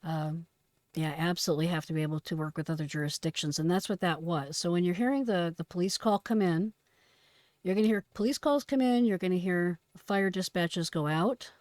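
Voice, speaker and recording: A woman's voice: on the low side, fairly quick, a little mellow, dry recording. A woman giving casual, instructive commentary as a presenter of emergency radio traffic.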